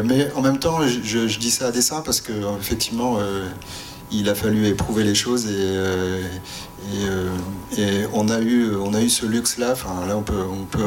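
A fairly low-voiced man speaks as a panel guest over faint background ambience. He is hesitant, often repeating small words as he searches for the next one. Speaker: sound designer and panel guest. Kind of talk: informal panel discussion. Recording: clear ambient background noise.

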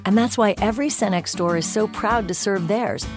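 A woman's voice: medium pitch, normal speed. A woman delivering a scripted commercial voice-over in a modern advertising style. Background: prominent music.

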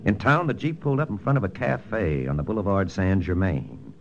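An actor playing a hard-boiled army lieutenant, narrating in a clipped first-person style for a 1940s radio drama. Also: male voice, low-pitched, animated, fairly quick, gravelly, muffled recording, noisy background. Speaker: narrator and lead. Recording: old radio broadcast with music.

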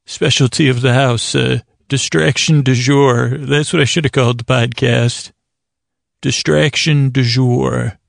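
An American man with a creaky voice hosting a podcast, delivering a meandering, halting comedic bedtime monologue that trails off mid-thought. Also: medium pitch, fairly even intonation, no echo, studio quality. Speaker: podcast host.

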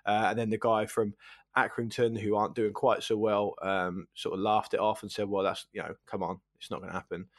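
A man's voice: fairly low pitch, level tone, a little fast, no echo, clean studio sound. A man chatting casually as a football podcast panellist, wordy and often circling back over his words.

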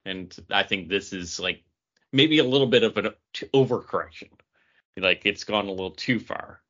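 A man co-hosts a comics podcast in relaxed conversational style. His voice sits low yet has a bright, forceful edge, and the recording is slightly band-limited. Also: moderate pace. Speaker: podcast co-host reviewing comic books. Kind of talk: casual chat. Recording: dull, band-limited audio.

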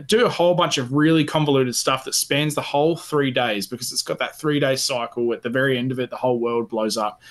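A man co-hosting a gaming podcast, telling things in a casual, earnest, rambling and enthusiastic way. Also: medium pitch. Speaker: podcast co-host. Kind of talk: casual gaming chat, anecdotal.

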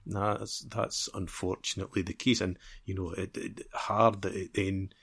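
A man's voice: low voice, flat delivery, moderate pace, vocal fry, fairly bright tone, husky. A man co-hosting a football podcast, chatting casually in a rambling, wry, teasing way and often repeating phrases as he builds a point. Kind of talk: casual sports chat.

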